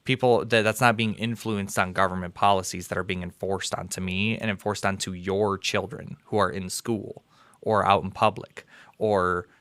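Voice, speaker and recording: A man's voice: sits low, steady tone, normal speed, fairly bright tone, no echo. Young American male streamer, casual host patter, clean dry voice. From United States. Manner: conversational, filler-heavy, upbeat sign-off. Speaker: livestream host. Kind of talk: casual call-in debate stream.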